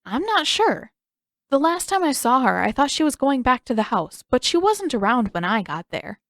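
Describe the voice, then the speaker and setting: A woman's voice: sits high, very animated, normal speed, fairly bright tone, dry recording, studio quality, voice pushed up, full character voice. A woman voicing a mild, hesitant, skeptical young boy in an audiobook, with a light, tentative delivery. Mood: unsure. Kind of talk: acted audiobook dialogue.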